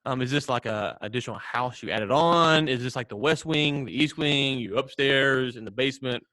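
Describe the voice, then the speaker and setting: An American man co-hosts a podcast in a casual storytelling style. His mid-pitched voice rambles along with mock seriousness and frequent joking. The sound is dry and close, with little room in it. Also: normal speed, bright, forceful tone, no room sound. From United States. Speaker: podcast co-host.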